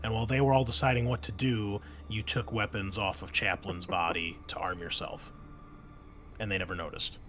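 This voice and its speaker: A man's voice: fairly low pitch, fairly even intonation, normal speed, a bit bright, soft background music. A man narrating a tabletop roleplaying game as game master, explaining things in a conversational way. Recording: clear background noise and ambience.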